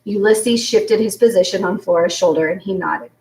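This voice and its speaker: A woman's voice: mid-range voice, normal speed, a little mellow. Echoey woman reading a children's story aloud. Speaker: story reader. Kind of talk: expressive children's book read-aloud. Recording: roomy echo, distant from mic.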